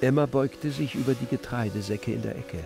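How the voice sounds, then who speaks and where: A man narrating a literary story in a measured, descriptive manner. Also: mid-range voice, gravelly, over music.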